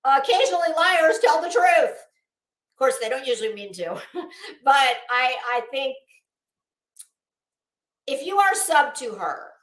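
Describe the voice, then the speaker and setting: A high-pitched American woman delivers casual, sarcastic livestream commentary. Her intonation is highly animated and emphatic, with a salty, scornful edge. The sound is roomy and echoing. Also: measured pace, pauses often. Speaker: online livestream host.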